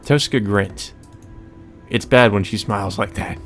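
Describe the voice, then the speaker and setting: A man's voice: fairly low pitch, a little slow, music in the background. A man reading a visual novel aloud as a let's play host, with informal commentary.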